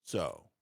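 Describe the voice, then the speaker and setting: A man playing a tabletop game, speaking in a slow, wry way. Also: no room sound, clean studio sound. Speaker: player.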